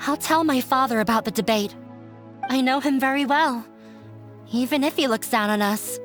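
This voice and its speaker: Determined, high-voiced young woman played by an actress. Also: moderate pace, close to an everyday voice. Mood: resolute. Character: determined, earnest young woman defying her father. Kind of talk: video game voice acting. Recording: music behind the voice.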